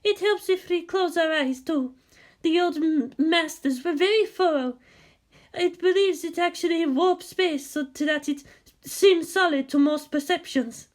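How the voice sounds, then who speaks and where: Performer playing a flustered, high-voiced mystic woman. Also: unhurried. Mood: explaining earnestly. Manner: defensive, earnest, slightly formal. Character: earnest, flustered mystic woman from a hidden monastery.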